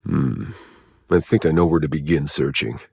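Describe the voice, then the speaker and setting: Gruff, sardonic game mercenary: low register, terse and wry delivery, dry studio sound. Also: male voice, fairly even intonation, moderate pace, warm tone, no echo, exaggerated voice. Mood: sober, matter-of-fact. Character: gruff, sardonic male mercenary survivor on a hostile planet. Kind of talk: video game voice lines.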